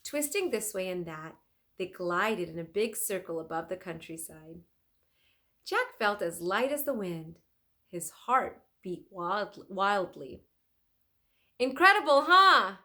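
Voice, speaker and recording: Woman, teacher, children's read-aloud, expressive storytelling, slow deliberate pace, lively intonation. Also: lowish voice. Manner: deliberate, clearly phrased, animated.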